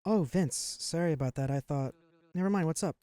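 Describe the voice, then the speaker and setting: A man's voice: fairly high pitch, a little fast, warm tone, dry recording, clean studio sound, lightly acted. A man voicing a meek, put-upon young male waiter, reading game lines hesitantly. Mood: flustered. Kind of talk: voicing game dialogue during a playthrough.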